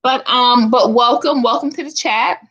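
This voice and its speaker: A woman's voice: fairly high pitch, moderate pace, a bit bright, breathy edge, highs cut off. A woman in her forties hosting a live stream, chatting casually and informally with her viewers as if among girlfriends. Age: 40s. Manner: chatty and informal, addresses viewers as sis and honey. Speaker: host of a live chat stream.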